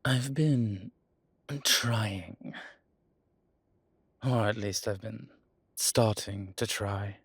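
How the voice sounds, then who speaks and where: Man as very slow-speaking, low old vampire. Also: a bit bright, husky, dry recording, exaggerated voice. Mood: weary, guarded. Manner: unhurried, halting, deliberate. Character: centuries-old male vampire, weary, genial former soldier. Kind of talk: dramatic audio roleplay acting.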